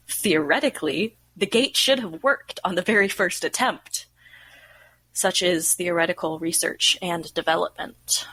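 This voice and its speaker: A woman's voice: on the low side, vocal fry, a bit bright, breathy edge, heavily acted, poor audio. A woman playing a weary research scientist recording experiment logs in an audio drama, speaking in a dry, formal, precise manner. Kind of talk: scripted audio drama log narration.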